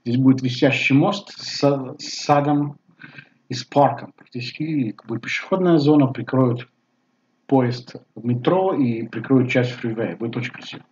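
A man in his sixties with a Bulgarian accent, talking casually to camera at home, halting with many fillers. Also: mid-range voice, darkish tone, slight background noise. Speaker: vlogger. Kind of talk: casual personal talk to camera. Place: living room at home. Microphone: webcam at desk. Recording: slightly dull webcam audio.

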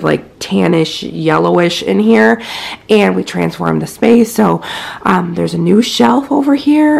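A woman's voice: on the low side, creaky, a little mellow. A woman in her thirties with a scratchy voice, chatting casually through a home decor tour indoors with a handheld phone, full of fillers. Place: inside a house. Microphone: handheld phone camera.

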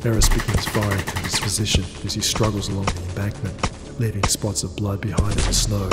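A man reading scripted cinematic sci-fi narration in a solemn, measured manner. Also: on the low side, little pitch movement, reverberant, in a large hall, loud music behind the voice.

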